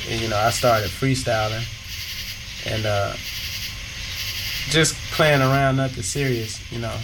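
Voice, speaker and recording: Laid-back man rapper, noisy. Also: mid-range voice. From South Carolina, US. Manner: relaxed, rambling, many fillers and restarts. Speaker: rapper and songwriter. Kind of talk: casual interview answers. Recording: loud background noise.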